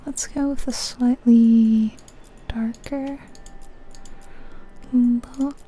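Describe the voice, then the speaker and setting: A woman speaks in a very soft, breathy whisper with a dark, mellow tone. She goes slowly, musing to herself in the manner of relaxing ASMR game commentary, close to the mic. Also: fairly high pitch, fairly even intonation. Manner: unhurried, musing, thinking aloud. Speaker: ASMR video creator.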